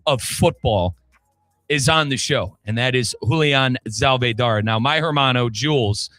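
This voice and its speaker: A man hosting a sports talk radio show in an excitable, chummy, informal manner. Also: mid-range voice, a little slow, no room sound, studio quality, soft background music.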